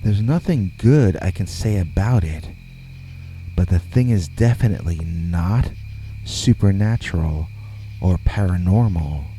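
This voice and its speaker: A man reading a scripted first-person scary story in a measured, deliberate way, with pauses between phrases. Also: deep voice, warm tone, slight background noise, rough recording. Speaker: horror story narrator.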